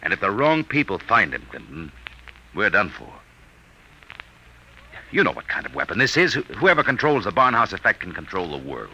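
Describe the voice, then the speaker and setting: An actor playing an urgent, persuasive army major with a commanding manner in a vintage radio drama. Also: male voice, deep voice, big pitch swings, normal speed, pauses often, husky, full character voice. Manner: forceful, commanding. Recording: slightly noisy old radio recording.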